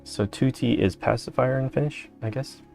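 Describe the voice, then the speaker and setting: A man's voice: mid-range voice, normal speed, creaky, a little mellow, soft background music. A man chatting casually on a stream, dry and playful with his co-host.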